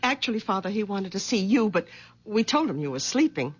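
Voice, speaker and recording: An actress playing a worried, caring middle-aged daughter in a 1970s radio drama, speaking gently and pleading. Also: female voice, mid-range voice, very animated, fairly quick, breathy edge.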